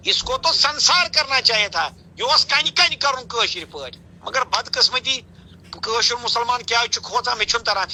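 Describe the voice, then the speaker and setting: A man's voice: very high voice, expressive, measured pace. A man with a Kashmiri accent giving a heated phone interview in an emphatic, accusatory, insistent manner. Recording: bright, noisy phone call recording.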